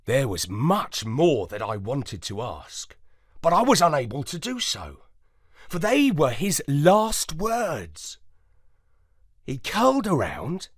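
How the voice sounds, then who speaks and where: A man's voice: mid-range voice, dry recording, clean studio sound. A man playing an earnest, loyal space dog, narrating a scripted children's story expressively. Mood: moved, earnest. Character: earnest, loyal male space dog telling his adventures.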